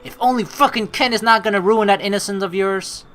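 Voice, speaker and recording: A woman's voice: medium pitch, a little fast, fairly bright tone. A woman hosting a let's play, switching between reading game narration aloud and playful, teasing commentary.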